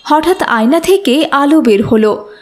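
Casting: female narrator for a kids' cartoon tale, high voice, scripted storytelling. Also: expressive, unhurried.

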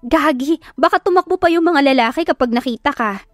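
A chirpy, hardworking young Filipina, acted out by a woman narrator in an audio love drama. The voice is raised and energetic, recorded dry over music. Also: high voice, brisk, dry recording, voice pushed up, close to an everyday voice. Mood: teasing. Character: bubbly, hardworking young woman mascot performer. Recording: background music under the voice.

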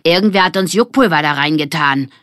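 A woman voicing an outspoken, sharp-tongued girl in a children's story, emphatic and accusing. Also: sits low, brisk, no echo, clean studio sound. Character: outspoken, sharp-tongued girl who mixes in Italian words.

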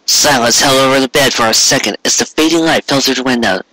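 Male story narrator, bright forceful voice, dry close sound. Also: medium pitch, fast talker, dry recording, rough recording. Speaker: amateur fan fiction reader. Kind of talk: scripted narration, storybook reading.

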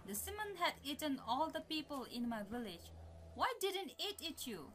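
A woman playing a wary, clever young village girl in an animated folktale. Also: high voice, normal speed, slight background noise. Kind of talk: animated folktale character acting.

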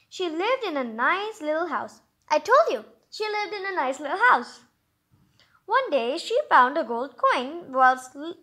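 Bright high voiced girl reading a picture book out loud, chatty, exclaims a lot between bits. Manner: exclaiming, informal commentary. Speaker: host of a story reading channel. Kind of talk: storybook read-aloud with chatty asides.

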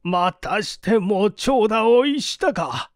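A man's voice: sits high, big pitch swings, no echo, studio quality, voice pushed up, full character voice. A man acting a proud, tormented police detective. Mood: groaning, despairing. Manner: groaning, drawn-out, strained delivery.

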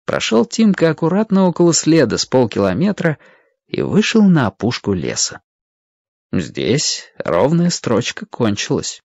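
Narrator for an audio drama: expressive scripted storytelling, very varied melody, close dry sound. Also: medium pitch, unhurried, vocal fry, no echo, muffled recording.

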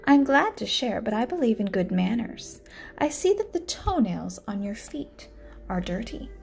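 A woman's voice: a little high, very animated, normal speed, a little mellow, soft background music. A woman voicing a sly, greedy male spider in a children's storybook, with a fussy, polite manner. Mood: politely fussy. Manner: polite, prim, throat-clearing. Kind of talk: children's storybook read-aloud.

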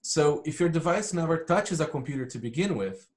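A man's voice: on the high side, expressive, a little fast. A man from Brazil giving casual, matter-of-fact explanations as a podcast guest. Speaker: podcast guest, hardware company founder.

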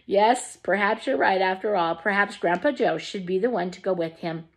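A woman's voice: mid-range voice, moderate pace, close to an everyday voice. A woman voicing a fussy, bustling mother in a storybook reading. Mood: fussing. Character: bossy, fussing storybook mother.

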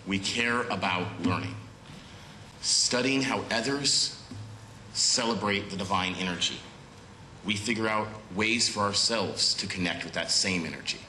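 A man's voice: on the low side, pushed and bright, slight background noise. Nasal man with a cold, echoey church. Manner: a little nervous, earnest. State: has a cold, slightly nasal. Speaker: guest speaker. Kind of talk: church talk with prayer. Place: church sanctuary at a pulpit, indoors. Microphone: far camera with pulpit microphone. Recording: roomy echo of a church hall.

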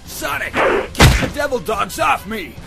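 Man as a very high, strained, furious echidna hero. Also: big pitch swings, measured pace, gravelly, exaggerated voice. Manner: forceful, shouting, strained. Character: furious, grief-stricken male echidna hero. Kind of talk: amateur comic dub voice acting. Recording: music loud behind the voice.

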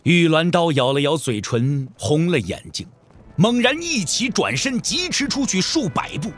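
A man's voice: a little high, big pitch swings, moderate pace, no echo, soft background music. A man giving dramatic scripted narration for an audio drama.

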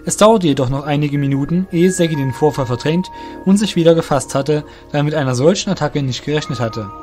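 Higher-pitched male storyteller over music. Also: brisk, darkish tone. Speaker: narrator. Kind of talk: scripted storytelling narration.

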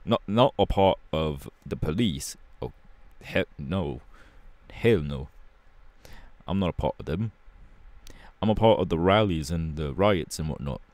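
Man acting a deep-voiced young London punk rebel. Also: expressive, a little mellow, dry recording. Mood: defiant. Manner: casual, slangy, halting, irreverent. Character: cocky, rebellious young London punk superhero, laid-back and anti-establishment. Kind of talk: ASMR audio roleplay.

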